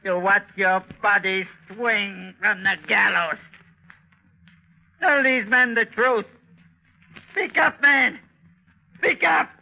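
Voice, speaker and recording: Shrill, crazed old sea captain. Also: male voice, slow, vocal fry, long pauses, heavily acted. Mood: vengeful, demanding. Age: older. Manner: ranting, insistent, repeating his demands. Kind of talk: 1940s radio drama acting. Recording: dull, muffled 1940s radio recording.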